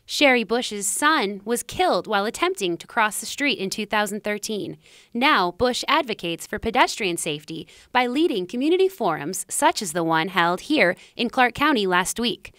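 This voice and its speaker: A woman's voice: medium pitch, animated, normal speed, fairly bright tone, no room sound, studio quality. Woman, news reporter, mid-range voice, scripted narration.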